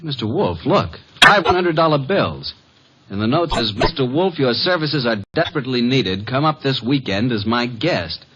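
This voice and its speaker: Man playing wisecracking sleuth's sidekick, animated mid pitch, brisk, wry, crackly dull vintage radio. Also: lightly acted. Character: wisecracking, eager male detective's assistant and narrator. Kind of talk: 1940s-50s American radio acting. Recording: noisy, dull old radio recording.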